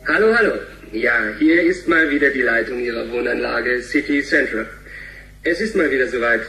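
A man's voice: mid-range voice, animated, moderate pace, creaky, pushed and bright, roomy sound, faint music behind, low-quality recording. A man playing a smarmy, officious housing management announcer in a radio play, chirpy and bureaucratic.

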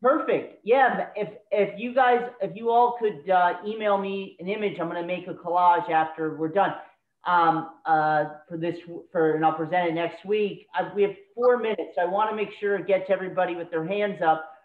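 A woman hosting an online workshop, encouraging and calling on participants by name. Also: fairly low pitch. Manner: brisk, encouraging, calls on people by name. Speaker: workshop host. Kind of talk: casual moderation. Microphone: video call. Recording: tinny phone-like echoey call audio.

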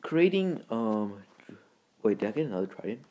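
A man hosting a gaming video with casual, rambling, halting commentary in informal words. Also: on the low side, sing-song, warm tone, slight background noise. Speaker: gaming video creator and host.

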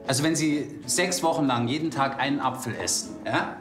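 An actor playing an instructive, health-minded nutrition coach in a TV crime comedy. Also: male voice, medium pitch, moderate pace, lightly acted. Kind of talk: comedy crime series acting. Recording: echoey room with background music.

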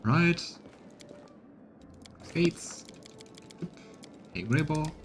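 A man's voice: on the high side, expressive, extremely slow. A man in his thirties gives let's play commentary on a game. He moves between his own remarks and reading dialogue aloud. Some game music plays behind his voice. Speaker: streamer playing a game.

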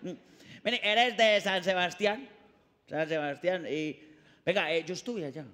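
A man's voice: high-pitched, measured pace, sharp tone, big-room sound. A middle-aged man hosting a comic talk show, teasing and playful in a jumpy way. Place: TV studio set.

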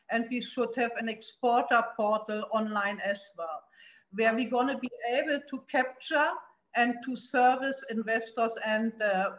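A woman's voice: on the high side, fairly bright tone, echoey room, poor audio. A middle-aged woman with a German accent speaking on a panel over a video call. She talks earnestly and emphatically, with frequent fillers and restarts. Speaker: city investment promotion official. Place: indoor room at home. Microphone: laptop webcam on a video call.

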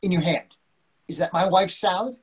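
A man's voice: high voice, moderate pace, breathy edge. A man in his sixties playing an anxious, devoted, fussy husband in a play reading over a video call, earnest and questioning. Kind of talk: readers theatre play reading.

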